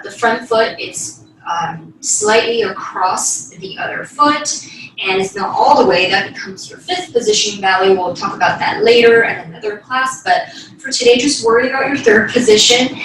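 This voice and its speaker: A woman's voice: medium pitch, fairly bright tone, slight background noise. A woman giving spoken ballet class instruction, cueing moves in an encouraging way as she demonstrates. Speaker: ballet teacher. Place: small bedroom, indoors. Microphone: distant camera across the room. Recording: very echoey room, distant microphone. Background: piano music.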